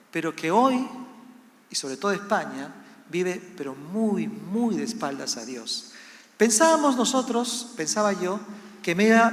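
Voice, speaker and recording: A man's voice: sits high, fairly bright tone. A man in his early fifties with a Latin American Spanish accent, giving an emphatic missionary testimony as a sermon, repeating phrases for effect and checking in with his listeners. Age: early 50s. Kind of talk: sermon, personal testimony to a congregation. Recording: echoey hall with long reverb.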